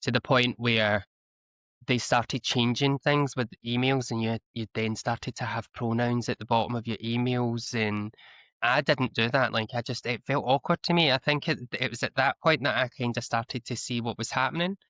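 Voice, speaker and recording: A man's voice: mid-range voice, steady tone, normal speed, fairly bright tone, dry recording. A Scottish man speaking as an interview guest, talking earnestly with frequent restarts and repeated words. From Scotland. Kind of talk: candid conversational interview. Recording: slightly dull, lo-fi audio.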